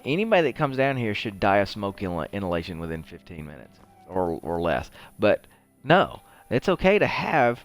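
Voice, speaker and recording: A man with a fairly low voice talks his way through a video game in a casual, rambling way. He thinks aloud like a host chatting to viewers, with music playing clearly behind him. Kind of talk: casual gameplay commentary.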